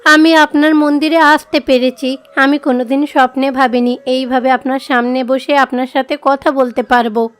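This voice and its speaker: A woman voicing a meek, devout young village daughter in an animated moral story, earnest and plaintive. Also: high voice, normal speed, natural voice. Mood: joyful, grateful.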